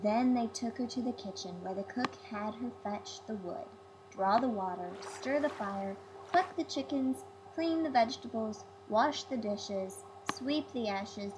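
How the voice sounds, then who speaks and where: A woman's voice: a little high, a little slow, noisy background. A woman reading a children's fairy tale aloud as a storybook narrator. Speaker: storybook reader.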